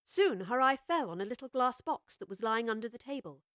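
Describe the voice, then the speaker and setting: A woman reading scripted storybook narration in a measured, expressive way. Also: fairly high pitch, dry recording, clean studio sound. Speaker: audiobook narrator.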